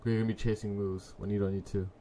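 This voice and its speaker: A man in his early twenties hosting a live trading stream, chatting casually with viewers in a laid-back, slangy way and letting his sentences trail off quietly. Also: fairly low pitch, little pitch movement, fast, a little hiss or hum. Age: 20s. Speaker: livestream trading host. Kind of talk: casual live-stream chat reading comments.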